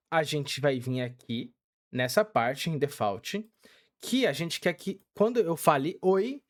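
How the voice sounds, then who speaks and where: A young man with a Brazilian Portuguese accent, casually walking through a game tutorial step by step with many fillers. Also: fairly high pitch. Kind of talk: casual screen-recorded tutorial.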